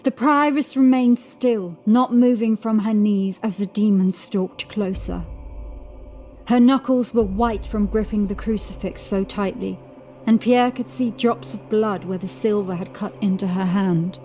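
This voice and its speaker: A woman reading scripted horror fiction as narrator, in a dramatic, measured storytelling manner. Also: medium pitch, mellow. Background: music.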